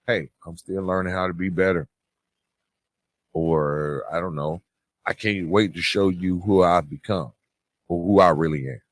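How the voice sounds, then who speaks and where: Male podcast host, lowish pitch, animated intonation, earnest and reflective advice-giving delivery. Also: no echo. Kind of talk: reflective advice talk to listeners.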